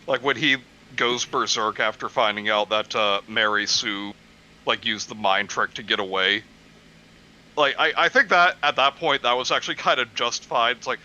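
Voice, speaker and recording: A man's voice: medium pitch, steady tone, normal speed, bright, forceful tone, dull audio. A man chatting casually about films as a guest on a live stream.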